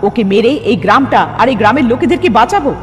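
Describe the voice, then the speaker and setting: A woman's voice: fairly high pitch, lively intonation, very fast, natural voice. A woman playing a determined young heroine in a dramatic cartoon dub, earnest and questioning. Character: determined young heroine seeking to save a village. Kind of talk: dramatic dubbed cartoon dialogue. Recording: long hall reverb, faint music behind.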